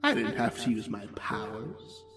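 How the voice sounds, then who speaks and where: A man playing a menacing, manipulative supervillain in an audio drama, taunting in a gravelly voice. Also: on the low side, sing-song, unhurried. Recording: music over the voice. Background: music.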